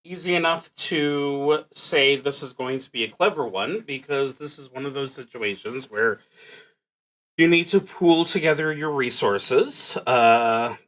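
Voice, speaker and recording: A man thinking aloud as he narrates a solo tabletop roleplaying game, in a halting, musing, wry way. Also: mid-range voice, a bit bright, rough recording.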